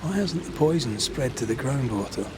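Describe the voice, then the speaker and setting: A man's voice: medium pitch, expressive, moderate pace, background noise, lightly acted. A male actor playing a dry, sardonic ghost hunter in a video game, in a naturalistic style. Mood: wry, investigative. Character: wry, gruff adult male ghost hunter.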